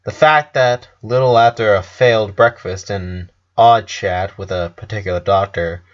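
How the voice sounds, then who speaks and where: A man reading a scripted story as narrator, in a measured way with pauses between phrases. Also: lowish voice. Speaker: fan fiction narrator. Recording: dull lo-fi voice recording.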